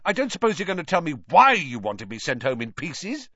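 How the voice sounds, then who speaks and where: A narrator voicing a suspicious, indignant boy wizard in a storybook, with sharp, demanding questions. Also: medium pitch, wildly swooping pitch, measured pace, no room sound, lo-fi sound, voice pushed up, exaggerated voice. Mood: angry.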